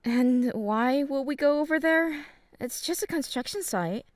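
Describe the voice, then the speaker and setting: A woman's voice: sits high, a little slow, a little mellow, no echo, studio quality. A woman playing a chatty, outgoing teenage girl in an audio drama. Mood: doubtful. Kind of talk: acted audio drama dialogue.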